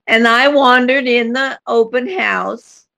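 An older woman from the United States, a guest telling an anecdote in an unhurried way with light laughs. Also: a little high, vocal fry, bright, forceful tone. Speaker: guest, co-founder of an institute. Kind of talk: storytelling in conversation.

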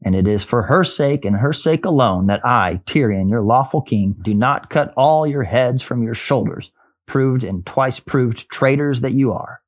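A man's voice: mid-range voice, measured pace, dry recording, close to an everyday voice. Noble storybook king, read by a man: stately, formal, declamatory, slightly dull recording. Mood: stern. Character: noble, stern king with archaic speech.

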